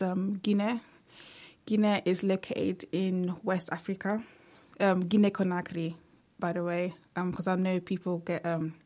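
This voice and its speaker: A woman's voice: mid-range voice, mellow. A young woman speaking earnestly in a casual vlog monologue, with frequent fillers like "like" and "you know". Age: young adult. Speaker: vlogger.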